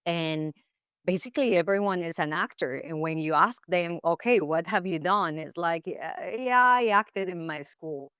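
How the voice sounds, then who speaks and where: A woman's voice: lowish voice, moderate pace, fairly bright tone, smooth, no room sound, studio quality. A Colombian woman whose first language is Spanish, speaking English with a Colombian accent, reflecting as an interview guest with many fillers and restarts. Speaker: guest actress. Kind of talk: casual interview chat.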